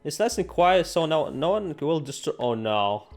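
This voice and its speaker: High-pitched, theatrical male gamer. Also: sing-song, normal speed, a little mellow, a little hiss or hum. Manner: theatrical, exclamatory, jokey. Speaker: gaming video host. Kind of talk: playthrough reading with improvised reactions. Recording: music behind the voice. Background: game music.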